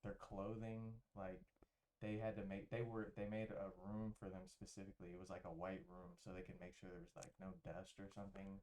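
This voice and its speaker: Man, low pitch, mellow dark tone, casual, searching for words. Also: monotone, a little fast. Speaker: book club member. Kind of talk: casual book discussion.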